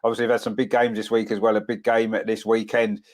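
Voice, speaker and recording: A man with a cold, sounding croaky as he hosts a casual football chat show, full of "of course" and tag questions. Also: fairly low pitch, little pitch movement, quick pace. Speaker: podcast host.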